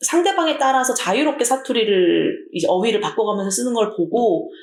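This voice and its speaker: A woman teacher from Jeju with a Jeju Korean accent, telling an anecdote in a chatty, animated way. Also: on the high side, a little fast, echoey room.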